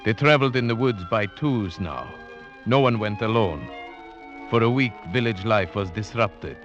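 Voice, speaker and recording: A man narrating an old-time radio drama in a measured, suspenseful way. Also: fairly low pitch, lively intonation, muffled recording, over music. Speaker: narrator and lead actor.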